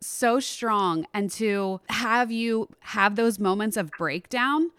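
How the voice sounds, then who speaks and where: A woman hosting a podcast in a chatty, encouraging way. Her voice is smooth and clear, recorded dry and close with no room sound. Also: medium pitch, slow, a bit bright, dry recording. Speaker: podcast host. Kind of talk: casual interview chat.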